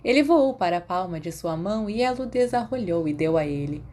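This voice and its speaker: A woman's voice: fairly low pitch, animated, fairly quick, darkish tone. A woman with a Brazilian accent reading scripted fiction as an audiobook narrator. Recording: faint background noise.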